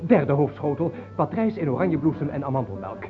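An actor playing an obsequious, scheming viscount at a royal court in a theatrical radio play. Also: male voice, a little high, big pitch swings, brisk. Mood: servile, nervous. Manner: servile and hesitant, conspiratorial among accomplices. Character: obsequious, scheming courtier viscount plotting against his king. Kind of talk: theatrical radio drama acting. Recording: dull old radio recording.

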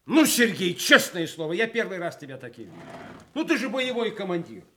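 An actor playing a middle-aged senior official and loyal war comrade in a Soviet radio play, emphatic and probing. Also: male voice, high voice, sing-song, a little fast, fairly bright tone, slight background noise. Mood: encouraging, urgent.

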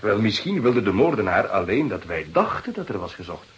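An actor playing an earnest police detective's assistant in a Dutch radio drama, speaking plainly and matter-of-factly. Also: male voice, fairly low pitch, sing-song, a little fast, dull audio. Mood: thoughtful.

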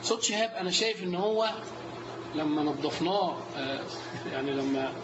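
A man's voice: fairly high pitch, moderate pace. A man with an Egyptian Arabic accent teaching a live class. He keeps quizzing his students as he goes. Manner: lively, quizzes the students often. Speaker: instructor. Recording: dull lo-fi recording with steady noise.

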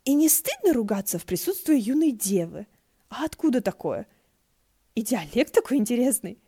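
Woman acting out a playful, higher-pitched girlish deity. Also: very animated, normal speed, mellow, breathy edge, full character voice. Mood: mock shocked. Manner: exclamatory, teasing.

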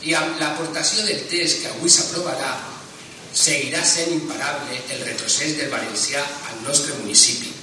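A man argues in a council debate as an opposition councillor, indignant and insistent. His slightly high voice sounds distant. Heavy chamber echo and steady noise surround it. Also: normal speed. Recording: echoey council chamber from afar.

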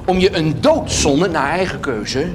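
An actor playing a wheedling monk who hawks indulgences with theatrical, cajoling patter in a radio drama. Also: male voice, a little high, animated, unhurried, noisy background. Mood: hawking. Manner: theatrical, cajoling sales patter, punctuated with laughs. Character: wheedling monk huckster selling indulgences to peasants.

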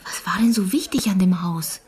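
Young girl, radio play, very husky-breathy, curious, earnest, stubborn. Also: medium pitch, normal speed, darkish tone. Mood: argumentative. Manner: questioning, defiant, earnest. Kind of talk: radio drama acting.

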